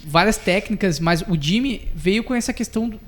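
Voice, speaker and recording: A man's voice: sits high, animated, fairly quick, fairly bright tone. A young man, co-hosting a casual podcast chat, telling stories with enthusiasm, slang and lots of fillers. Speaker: podcast co-host.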